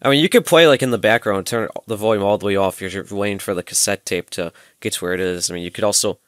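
A man's voice: lowish voice, quick pace, no room sound, studio quality. A young adult man, giving a casual hands-on demonstration and explaining informally, step by step. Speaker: presenter of a gadget tutorial. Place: small room at home, indoors. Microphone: headset microphone.

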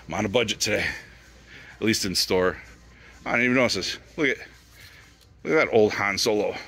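A man filming a casual toy-hunting walkthrough, rambling excitedly as he lists the figures he spots on the store shelves. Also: sits low. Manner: excited, rambling, listing items as he browses. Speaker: toy collecting vlogger. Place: retail store aisles.

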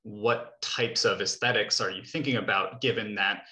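A man's voice: fairly low pitch, steady tone, normal speed, bright, forceful tone. A man in his thirties moderating a Q&A over a video call from a room at home. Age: 30s. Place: living room, indoors. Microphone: computer mic on a video call. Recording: roomy, echoing video-call sound.

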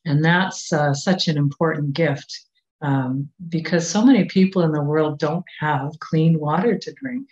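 A Canadian woman in her sixties giving a children's lesson at a church service over a webcam from a small home office, talking gently and asking coaxing questions. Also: sits low, measured pace. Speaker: children's time leader. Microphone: webcam on a video call. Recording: dull, muffled webcam sound.

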